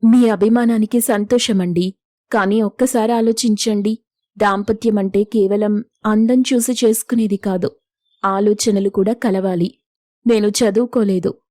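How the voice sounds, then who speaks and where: A woman's voice: medium pitch, steady tone, a little slow, mellow, dry recording, studio quality, lightly acted. A woman playing a humble, self-sacrificing young village elder sister in a moral story, earnest and pleading. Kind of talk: Telugu moral story dialogue.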